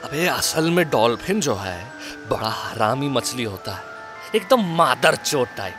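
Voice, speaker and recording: A man's voice: medium pitch, big pitch swings, unhurried, over music. A man playing a crude, wisecracking sidekick in a Hindi horror-comedy audio drama, with a colloquial eastern Hindi belt accent and a teasing, foul-mouthed manner. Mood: gossipy, mock-shocked. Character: Prasad, crude wisecracking goofy sidekick to a ghost investigator.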